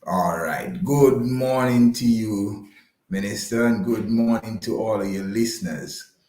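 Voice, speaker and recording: A man speaking as an interview guest, measured and courteous, with slightly formal wording. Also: on the low side, darkish tone, reverberant. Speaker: interview guest, financial advisor. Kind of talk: conversational radio interview.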